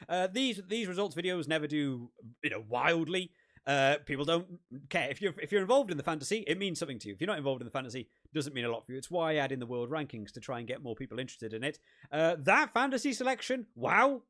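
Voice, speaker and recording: A man from the UK hosting a rugby gaming live stream, chatting and rambling with lots of restarts, his voice wearing out and going hoarse. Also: on the high side, a bit bright. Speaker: rugby gaming channel host. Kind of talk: casual live stream chat.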